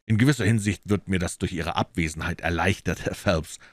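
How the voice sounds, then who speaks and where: A man voicing a coolly assured, incisive gentleman detective in an audiobook, emphatic and courteous. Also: low voice, fairly quick, no echo, studio quality. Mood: assured, brisk.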